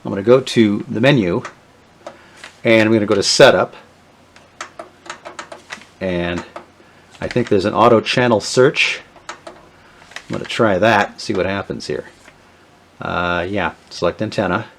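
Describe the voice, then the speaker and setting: A middle-aged man, talking casually and haltingly with many fillers as he shows off old electronics. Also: on the low side, gravelly, slight background noise. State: has a cold, sniffly. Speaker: vintage electronics video host. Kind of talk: casual show-and-tell narration.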